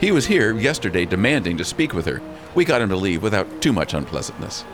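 Male actor as grumbling lord, protective father, lowish pitch, lively swings, emphatic, radio play, noisy ambience. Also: quick pace, music in the background. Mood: indignant. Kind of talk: radio drama acting. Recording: clearly noisy outdoor ambience.

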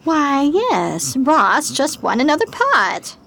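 A woman's voice: high voice, wildly swooping pitch, takes it slowly, gravelly, fairly bright tone, full character voice. A woman playing a chic, coaxing gambling-parlor hostess in a 1920s period radio drama, gracious and sugary.

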